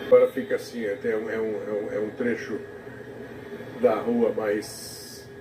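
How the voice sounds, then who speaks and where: A male TV commentator reflecting on a live broadcast, talking haltingly with many restarts. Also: on the low side, level tone. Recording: TV audio recorded off the air from a distance in an echoey room, noisy.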